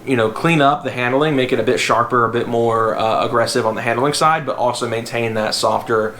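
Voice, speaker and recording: A young man with a slightly hoarse voice, talking casually to camera about his car, rambling and filler-heavy. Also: medium pitch, a little fast, a bit bright. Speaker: car vlogger. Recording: echoey room with faint background noise.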